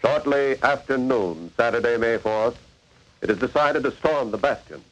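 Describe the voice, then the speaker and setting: A man's voice: mid-range voice, moderate pace, lightly acted, low-quality recording. Male vintage radio crime narrator, medium register, grave, formal and dramatic. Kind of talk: 1940s radio scripted narration.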